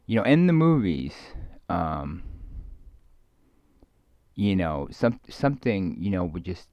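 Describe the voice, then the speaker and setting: A man rambling casually in a hesitant, halting, musing way, often trailing off as he thinks aloud. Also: low voice, very animated, no echo. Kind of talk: rambling casual monologue, ASMR roleplay. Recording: dull lo-fi close dry recording.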